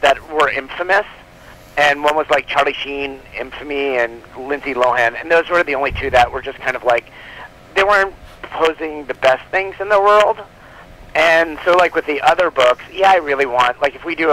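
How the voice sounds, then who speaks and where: A man's voice: medium pitch, bright, forceful tone, low-quality recording. A man speaking as an interview guest in a casual, rambling and giggly way. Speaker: comic book publisher, interview guest.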